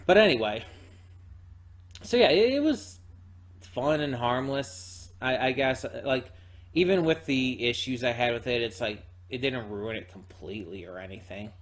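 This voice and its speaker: Rambling, halting man giving casual commentary. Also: mid-range voice, animated. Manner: rambling, halting, repeats words and hedges often. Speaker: reaction channel host. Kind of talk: unscripted casual reaction commentary.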